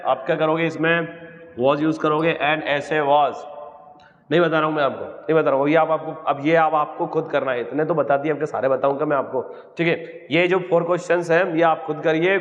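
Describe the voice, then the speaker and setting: An Indian-accented man gives an informal grammar lesson in a fairly high-pitched voice. He repeats phrases step by step and poses questions that he then answers. The recording is dull and lo-fi, with long reverb around his voice. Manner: asks questions and answers them himself. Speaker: English grammar teacher. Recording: reverberant hall-like echo, dull lo-fi sound.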